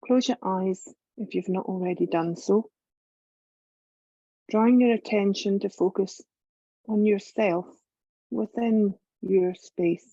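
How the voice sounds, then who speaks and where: A woman leading a guided meditation as a yoga teacher, calm and measured, giving gentle instructions with long pauses. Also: medium pitch, no room sound, highs cut off.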